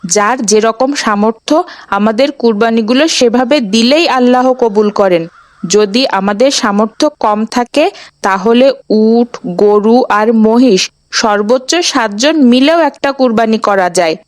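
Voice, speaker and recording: A woman's voice: a little high, measured pace, no room sound, natural voice. A woman voicing an earnest, tender-hearted young boy in a children's Islamic cartoon. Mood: gently admonishing.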